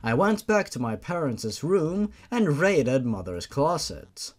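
A man's voice: fairly high pitch, big pitch swings, takes it slowly. A man reading fan fiction aloud as the host of a series, in a dramatic, mock-serious storytelling manner.

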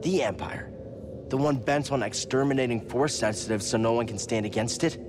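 A man playing an earnest, weary but hopeful young Jedi fugitive in a video game cutscene, sometimes halting as he speaks. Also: medium pitch, lightly acted. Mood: urgent, explaining. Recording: music behind the voice.

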